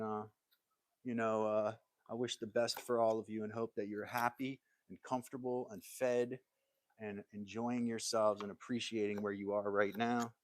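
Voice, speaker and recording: A man's voice: medium pitch, no room sound. A man hosting a casual woodworking livestream from his workshop, talking in an easygoing, rambling way and answering viewer comments. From United States.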